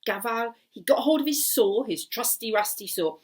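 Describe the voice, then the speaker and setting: A woman's voice: fairly high pitch, lively intonation, normal speed. A woman telling a story bilingually in Welsh and English, dramatic, repeating phrases in both languages.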